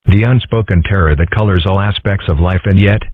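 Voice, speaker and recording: A man voicing a dry, philosophical young cartoon fox boy in a deadpan way for a comic strip dub. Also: low-pitched, fairly quick, breathy edge, no room sound. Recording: muffled phone-like audio.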